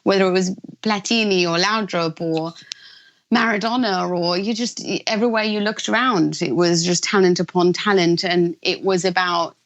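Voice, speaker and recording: A woman's voice: fairly low pitch, steady tone, moderate pace, highs cut off. A woman in her thirties talking football on a radio phone-in, chatty and enthusiastic, laughing easily. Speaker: football pundit. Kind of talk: casual radio chat.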